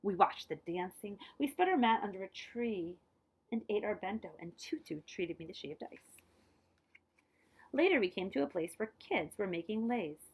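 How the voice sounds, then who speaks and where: A woman reading a children's picture book aloud in an expressive, dramatized way, dropping to hushed phrase endings and adding short asides. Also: mid-range voice, normal speed, husky.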